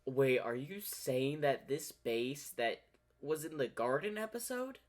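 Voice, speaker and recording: A man giving casual fan commentary as the host of a reaction video. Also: mid-range voice.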